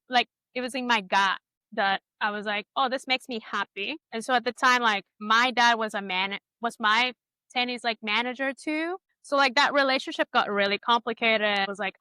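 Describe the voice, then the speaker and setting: Bright, clear, high-voiced woman from Ecuador. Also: dry recording. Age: 30s. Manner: informal, peppers talk with "like" and quoted inner thoughts. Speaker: interview guest, product designer. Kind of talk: casual conversational interview, personal storytelling.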